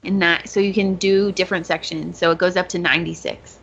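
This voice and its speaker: A woman's voice: on the low side, flat delivery, brisk, vocal fry, fairly bright tone, husky, lo-fi sound. A woman co-hosting a podcast in informal, enthusiastic casual chat. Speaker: podcast co-host.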